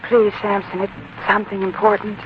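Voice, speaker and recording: A woman's voice: medium pitch, little pitch movement, brisk, close to an everyday voice. A young woman acting an earnest, anxious bride who pleads for help in a 1940s radio drama. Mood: earnest, pleading. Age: young adult. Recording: muffled, noisy 1940s radio recording.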